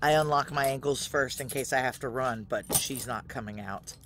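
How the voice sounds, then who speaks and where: A woman's voice: a bass voice, normal speed, very noisy. Terse noir woman on the run. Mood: grim, tense. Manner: terse, hard-boiled, clipped. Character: tough, guarded woman on the run, wounded and suspicious. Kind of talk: noir audio drama acting with first-person narration.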